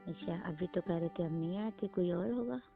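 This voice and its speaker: Actress as aggrieved girl of eighteen, insistent and accusing, conversational phone drama, dull lo-fi sound with soft music behind. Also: a little fast, lightly acted. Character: angry eighteen-year-old neighbour girl confronting a man by phone. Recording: muffled, low-fidelity phone-call recording.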